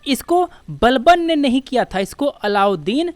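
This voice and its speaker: A woman's voice: high voice, very animated, a little slow, a little mellow, dry recording. A woman with an Indian accent giving an informal exam coaching lecture, explaining step by step and posing questions that she then answers.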